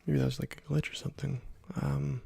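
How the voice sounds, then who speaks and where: A young man softly whispering and musing to himself while he plays a video game for an ASMR channel. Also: mid-range voice, little pitch movement, brisk. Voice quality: soft whispery, gravelly voice. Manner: quiet, laid-back musing while playing. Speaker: gaming YouTuber. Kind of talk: ASMR gameplay commentary.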